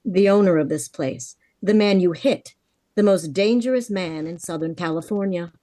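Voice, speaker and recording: An actress playing a composed, mysterious American woman in a vintage radio mystery, measured and earnest. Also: fairly low pitch, mellow, natural voice. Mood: guarded, serious. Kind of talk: vintage radio drama acting.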